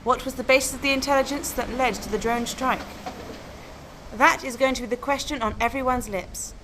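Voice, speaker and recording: A woman playing an earnest news correspondent, giving a measured, formal live report in a radio drama. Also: fairly high pitch, fairly bright tone, slight background noise, lightly acted, poor audio.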